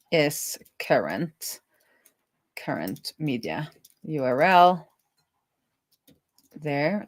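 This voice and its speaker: A woman hosting a casual live coding stream, thinking out loud as she works and often trailing off and rephrasing. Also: low-pitched, no echo. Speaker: host, web developer live coding.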